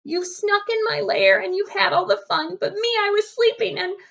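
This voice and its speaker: Woman acting a loud, whiny, grumbling storybook bear. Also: extremely high pitch, normal speed, creaky, highs cut off, voice pushed up, exaggerated voice. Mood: aggrieved. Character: big grumbly, self-pitying bear woken from sleep.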